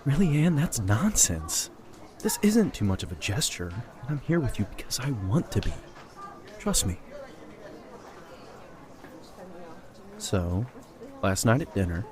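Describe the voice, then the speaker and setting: A man playing a kind, earnest young mercenary in an audio drama. He talks in a warm, reassuring way. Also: medium pitch, very animated, moderate pace, a crowd in the background, lightly acted.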